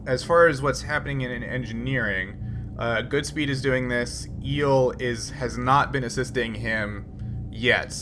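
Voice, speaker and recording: A man acts as game master in a tabletop role-playing game. His voice sits at an average male pitch as he explains mechanics step by step. Music plays clearly underneath him. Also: unhurried. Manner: explanatory, procedural.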